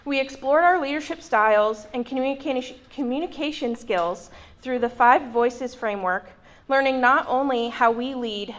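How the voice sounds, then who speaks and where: Female speaker with a slightly higher voice, earnest, reading a short testimonial. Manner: earnest, read-from-notes delivery. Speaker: program graduate and committee member. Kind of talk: prepared speech. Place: event room at a college.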